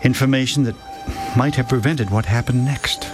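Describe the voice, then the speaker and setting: A man's voice: mid-range voice, moderate pace, loud music behind the voice, close to an everyday voice. An actor playing a kind man who chuckles as he recounts past events in a radio drama. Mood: reminiscing. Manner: kindly, reminiscing, chuckling storyteller. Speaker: storyteller and friend.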